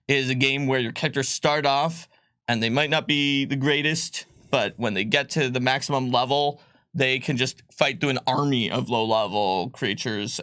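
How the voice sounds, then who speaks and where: A man co-hosts a casual livestream, talking through game rules at an average pitch. He rambles and corrects himself as he goes, with plenty of ums. His voice sounds close and dry, with no room around it. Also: a bit bright, no echo. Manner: loose and rambling, with many ums and self-corrections. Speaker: co-host presenting game rules.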